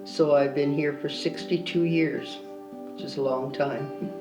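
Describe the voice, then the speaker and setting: A man in his eighties, a retired teacher, reminiscing in a measured way in a documentary interview. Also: fairly high pitch, steady tone. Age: 80s. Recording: roomy room sound, faint background music.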